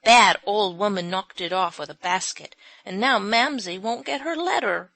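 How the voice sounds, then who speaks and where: A woman voicing an earnest little girl who speaks slowly and plaintively, in a storybook reading. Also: medium pitch, gravelly, fairly bright tone. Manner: slow, plaintive.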